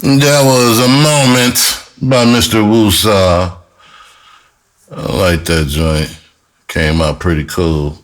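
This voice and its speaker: A man's voice: deep voice, fairly even intonation, slow. A middle-aged man telling personal stories casually as a host, candid and reflective, with streetwise slang. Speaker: podcast host and music artist.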